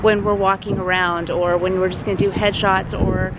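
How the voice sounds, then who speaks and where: A woman in her thirties with a light, soft voice, chatting casually into a handheld camera as she vlogs from a van and a parking lot. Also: medium pitch, little pitch movement, normal speed, highs cut off. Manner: chatty, laughing, tour-guide asides. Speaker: vlogger. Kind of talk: casual travel vlog. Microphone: handheld camera mic. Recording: loud outdoor noise.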